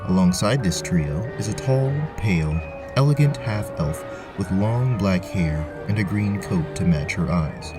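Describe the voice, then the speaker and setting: A man's voice: deep voice, animated, measured pace, music in the background. A man narrating a scripted tabletop fantasy story as its storyteller. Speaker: narrator, game master.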